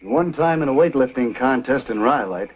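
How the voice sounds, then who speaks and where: A man's voice: medium pitch, animated, moderate pace, lightly acted. An actor playing a big, boastful, scornful mining camp strongman with a cocky, dismissive manner in a vintage Western radio drama. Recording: muffled, noisy old radio recording.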